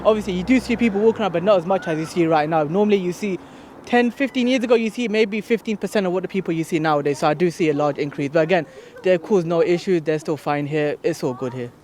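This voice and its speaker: Quick-talking articulate man, slightly high. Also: darkish tone. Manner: articulate, upbeat, defensive of newcomers. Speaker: street interviewee. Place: outdoors on a city street. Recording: street noise in background.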